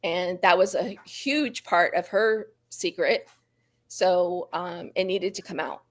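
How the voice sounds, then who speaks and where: A woman's voice: lowish voice, slow pace. A woman author speaking as a guest in a casual book chat over a video call, candid and self-deprecating. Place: live online video call.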